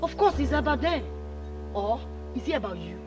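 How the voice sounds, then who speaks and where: A woman's voice: high-pitched, sing-song, normal speed, over music. A woman playing a proud, wronged mother in a film drama, with a Nigerian accent and an insistent, repetitive manner. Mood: angry, demanding.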